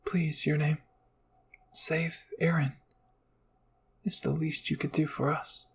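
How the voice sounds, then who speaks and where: A man's voice: fairly high pitch, little pitch movement, slow pace, creaky, slight background noise. A man voicing the spirits of fallen squad mates, earnest and urging, in a dramatic fan fiction roleplay. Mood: pleading.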